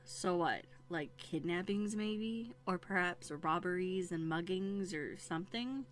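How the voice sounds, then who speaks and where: A proud young runaway princess, acted by a woman in an audio roleplay, with a slightly low female voice. She talks primly and formally, guarded and quick-tongued, with dramatic hesitations over background music. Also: close to an everyday voice. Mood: skeptical, challenging. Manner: prim, formal, indignant phrasing with dramatic hesitations. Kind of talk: scripted audio roleplay acting. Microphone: close USB studio microphone.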